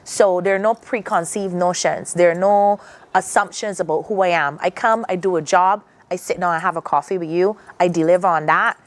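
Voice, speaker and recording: A woman's voice: fairly low pitch, moderate pace. A woman from Barbados with a Barbadian accent, talking earnestly as a guest on a morning TV interview, often restarting her sentences. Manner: earnest, reflective, many restarts.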